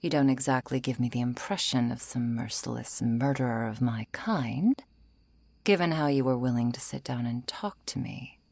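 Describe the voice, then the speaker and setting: A woman playing a seductive, menacing undead vampire in an intimate ASMR roleplay, coaxing and softly threatening, often slipping into whispers. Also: moderate pace, no echo, full character voice. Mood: persuasive, possessive. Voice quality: low, breathy, creaky.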